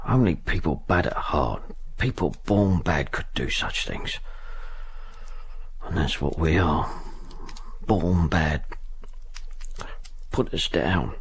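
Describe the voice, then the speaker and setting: A man's voice: extremely low pitch, animated, slow, long pauses, a bit bright, whispered, exaggerated voice. An actor playing a kindly, poor old ticket porter in a radio drama, stammering and repeating himself as he fusses. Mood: grim, despairing. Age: older.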